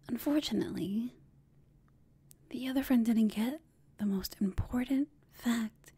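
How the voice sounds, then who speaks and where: A woman playing a confident, teasing female villain in an intimate ASMR roleplay, speaking in a smug, seductive, breathy whisper. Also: a little high, mellow.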